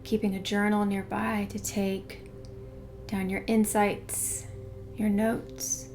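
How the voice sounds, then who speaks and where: A woman guiding a meditation in a soothing, unhurried way, hushed in places. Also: medium pitch, level tone. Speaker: meditation guide. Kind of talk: guided meditation narration. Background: background music.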